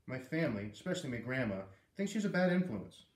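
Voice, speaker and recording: A man's voice: mid-range voice, very animated, quick pace, lightly acted. A man voicing a hurt, defensive young schoolgirl in a storybook read-aloud, plaintive and blurting as she confides. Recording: roomy echo, distant microphone.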